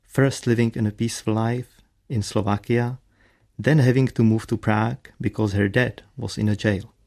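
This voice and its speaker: A man's voice: fairly low pitch, steady tone, moderate pace, vocal fry, dark tone. A man narrating a radio play in scripted storytelling style.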